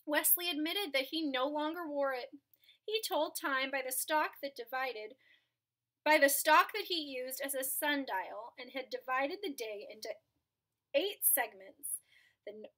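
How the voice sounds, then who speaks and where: A woman's voice: high-pitched, lively intonation, a little slow, sharp tone, low-quality recording. A young woman reading a picture book aloud at home into a webcam, stopping to explain the pictures to children. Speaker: read-aloud reader. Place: small room at home, indoors. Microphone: webcam at close range.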